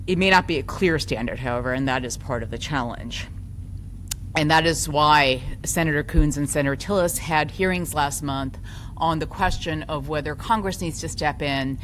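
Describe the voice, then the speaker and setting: Loud, low-voiced woman lecturing. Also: a little hiss or hum. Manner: articulate, lively, self-deprecating asides. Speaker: law professor giving a talk. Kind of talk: academic conference lecture.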